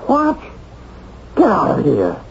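Blustering, high-voiced corrupt banker on old radio. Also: male voice, huge pitch swings, slow, vocal fry, heavily acted. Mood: indignant. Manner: blustering, haughty. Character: indignant corrupt banker cornered by accusers. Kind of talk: 1970s radio drama acting. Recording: dull, noisy old radio recording.

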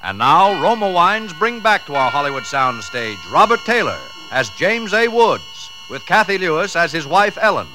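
A man's voice: fairly high pitch, very animated, moderate pace, few pauses, music in the background. A man formally and dramatically introducing a 1940s radio suspense program as its announcer.

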